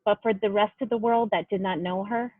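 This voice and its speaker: A woman's voice: mid-range voice, fairly even intonation, fairly quick, no room sound. A woman actor reading a written memorial tribute in a solemn style, heard through a tinny, band-limited connection.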